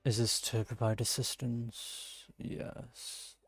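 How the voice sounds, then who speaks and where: A man's voice: medium pitch, completely flat delivery, slow pace, gravelly, breathy edge. A man giving casual gameplay commentary, musing aloud to himself in short reactions. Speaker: gamer playing through a story quest. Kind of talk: casual game playthrough commentary.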